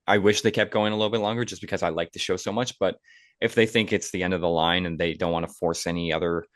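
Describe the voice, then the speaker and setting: A man with a very low voice hosts a casual film podcast. He is conversational and opinionated, and the recording is dry and close, with little room sound. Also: steady tone, fast, fairly bright tone, no room sound. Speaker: podcast host.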